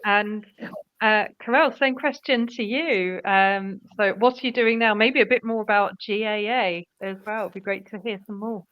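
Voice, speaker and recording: A woman's voice: mid-range voice, moderate pace, no room sound, dull audio. A woman hosting an interview, asking friendly questions in a hesitant way with ums. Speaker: host. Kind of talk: interview host.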